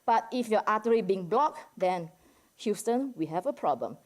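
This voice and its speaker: A woman from Indonesia with an Indonesian accent gives an informal academic presentation to a general audience, halting often and peppering it with casual filler words. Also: mid-range voice, lively intonation. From Medan, Indonesia. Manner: conversational and halting, peppered with "like" and "you know". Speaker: academic discussant at a conference.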